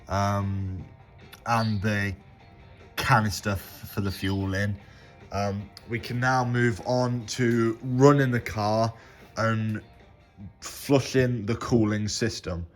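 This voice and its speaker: A man with a slight cold talking through a car repair in a halting, self-correcting way as he works. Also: lowish voice, fairly even intonation, faint music behind. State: has a bit of a cold. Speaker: home mechanic. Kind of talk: casual step-by-step repair commentary.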